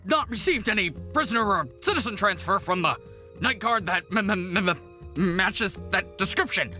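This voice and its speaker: Man acting cartoon stallion guard, terrified, stuttering, high-pitched, very sharp strained tone. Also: animated, normal speed, soft background music, heavily acted. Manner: stuttering, anxious. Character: terrified young stallion guard, nervous and stammering. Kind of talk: cartoon fan audio drama.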